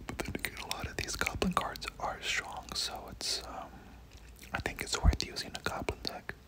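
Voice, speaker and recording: A gamer whispering casual, slangy ASMR commentary over gameplay, often repeating words, close to the microphone. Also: normal speed. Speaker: gaming video host.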